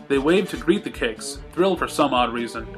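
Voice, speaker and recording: A man's voice: mid-range voice, expressive, a little fast, fairly bright tone, faint music behind. A man reading fan fiction aloud as scripted third-person narration. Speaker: story reader.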